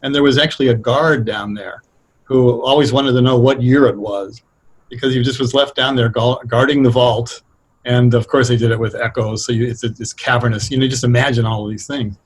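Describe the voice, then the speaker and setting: A man speaking casually and thoughtfully as a writer being interviewed, explaining things in a halting, anecdotal way. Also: medium pitch, fairly even intonation, slightly rough. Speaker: radio drama writer, interview guest.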